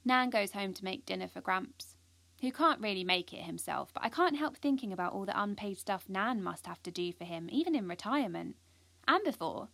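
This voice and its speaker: A woman's voice: medium pitch, expressive, fairly quick, vocal fry, a bit bright, dry recording. A young British woman playing an earnest, ambitious, anxious schoolgirl who confides her story in an audio drama. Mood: reflective. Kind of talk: first-person audio drama narration.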